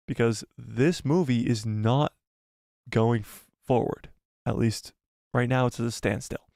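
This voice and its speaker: A man hosting a casual live stream, rambling through commentary and reading out viewer comments, his voice going hoarse. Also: mid-range voice, a little mellow, dry recording, studio quality. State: losing his voice.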